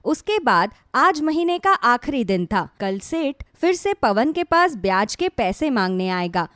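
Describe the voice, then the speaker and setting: A woman's voice: a little high, very animated, unhurried, fairly bright tone, dry recording, clean studio sound. A woman narrating a scripted dramatic story as a storyteller.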